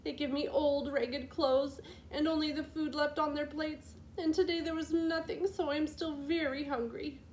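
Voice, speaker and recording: Lady doing a sad little storybook girl, high plaintive voice, kinda sobby, noisy recording. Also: steady tone, moderate pace, hardly any pauses, darkish tone, reverberant, natural voice. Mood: tearful, plaintive. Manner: plaintive, sobbing delivery. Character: sad, hungry, kind-hearted young girl. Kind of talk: storybook character voice.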